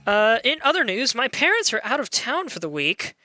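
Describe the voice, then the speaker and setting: A young adult game streamer with a loud voice, chatting casually and excitably while playing and greeting viewers. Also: mid-range voice, normal speed, soft background music. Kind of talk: casual live gameplay chat.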